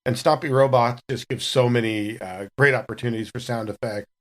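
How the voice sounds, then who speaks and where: A man in casual podcast conversation. His voice sits at an ordinary middle pitch, and he talks conversationally as one of the regulars. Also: fairly even intonation, a little fast. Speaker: podcast cast member and producer. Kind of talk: casual chat about projects.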